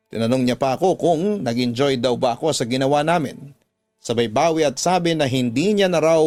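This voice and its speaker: A man's voice: a little high, darkish tone. A man reading a first-person story as a scripted radio drama narration. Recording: background music under the voice.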